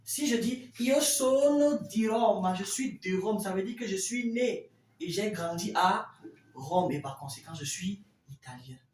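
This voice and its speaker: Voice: medium pitch, expressive, a little slow, a little mellow. A language teacher giving a classroom lesson in a didactic way, carefully repeating example phrases. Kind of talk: classroom Italian lesson explained in French. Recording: roomy echo, distant mic, faint noise.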